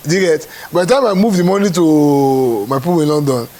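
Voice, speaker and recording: A man's voice: fairly high pitch, brisk, warm tone, slight background noise. A Nigerian man talking as a show producer in an interview, often checking that the listener follows. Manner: repeats check-in questions to the listener. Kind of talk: animated spoken interview.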